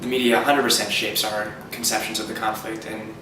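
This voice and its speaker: A man's voice: lowish voice, little pitch movement, brisk. A young man giving hesitant interview answers, repeating words and filling with "like". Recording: very echoey room, distant mic, noticeable noise.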